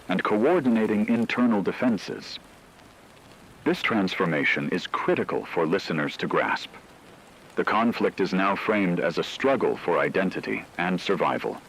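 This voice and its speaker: A man voicing a grave radio war correspondent, reading a scripted news report in a formal, measured way. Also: low-pitched, slight background noise, poor audio.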